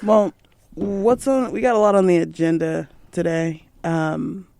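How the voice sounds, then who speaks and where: Hoarse young woman with a gravelly voice. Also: on the low side, steady tone, unhurried, a little mellow, no room sound, clean studio sound. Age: young adult. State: hoarse, throaty. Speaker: co-host. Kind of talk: casual chat. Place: college radio studio.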